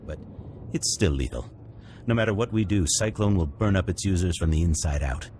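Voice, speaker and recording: A man playing a businesslike, deferential henchman scientist, brisk and matter-of-fact in a scripted game cutscene. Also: sits low, lively intonation, a little mellow, a little hiss or hum.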